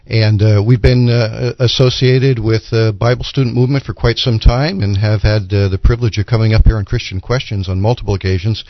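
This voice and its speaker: A man speaking as a church elder and guest on a religious talk show, measured and teacherly. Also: fairly low pitch, fairly even intonation, no room sound. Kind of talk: religious talk radio discussion. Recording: dull lo-fi radio audio.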